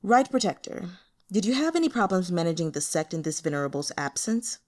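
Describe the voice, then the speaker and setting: Calm tyrant of a demonic sect, read by a woman: unhurried, haughty, formal delivery for storybook fantasy. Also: fairly low pitch. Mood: calm, pointed. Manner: languid, unhurried, condescending, formal. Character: calm, imperious male demon sect lord. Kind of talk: audiobook character voice.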